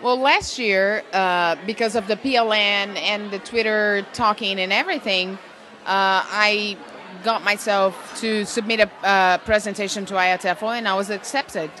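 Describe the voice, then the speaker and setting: A woman from Brazil, answering interview questions enthusiastically at a teaching conference and pausing often on "uh". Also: mid-range voice, steady tone, pushed and bright, a little hiss or hum. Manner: enthusiastic, with frequent "uh" pauses. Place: busy conference venue.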